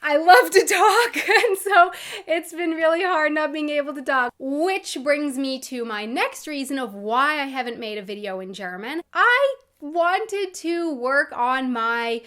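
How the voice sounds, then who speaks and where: A woman's voice: sits high, unhurried. Chatty young American woman vlogging. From United States. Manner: chatty and expressive, laughs easily. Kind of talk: casual vlog talk to camera.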